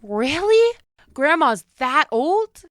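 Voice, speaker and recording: A woman's voice: high voice, very animated, takes it slowly, fairly bright tone, no echo, studio quality, exaggerated voice. A woman playing a curious, practical young girl in an audio drama, speaking in an inquisitive, matter-of-fact way. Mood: observant.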